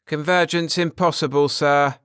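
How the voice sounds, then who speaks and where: A man acts out a Martian army officer from a science fiction tale. The voice is raised and loud, barking orders and reports in clipped, dutiful military fashion. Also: fairly high pitch, fairly even intonation, a little slow, smooth, dry recording, studio quality, voice pushed up. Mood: tense, formal.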